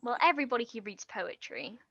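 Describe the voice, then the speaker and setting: Flustered female writer, eager, halting, forceful bright tone, close dry lo-fi recording, stage play. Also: fairly high pitch, sing-song, no room sound, heavily acted. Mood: rambling, awkward. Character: nervous, eager female aspiring writer hiding her past. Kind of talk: naturalistic stage play acting.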